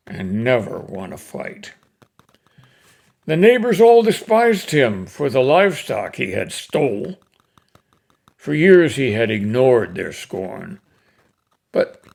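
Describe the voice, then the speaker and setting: Casting: older male poetry reader, folksy and unhurried, slow delivery, gravelly fry, mid-range pitch. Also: a little mellow. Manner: folksy, unhurried, with pauses between phrases. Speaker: host reading cowboy poetry. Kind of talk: casual introduction and expressive poem recitation.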